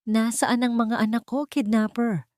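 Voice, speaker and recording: A woman's voice: on the high side, steady tone, a little slow, a little mellow, no echo, voice pushed up, close to an everyday voice. Female narrator as an angry, protective father in audio drama: demanding, urgent, dry close sound. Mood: furious.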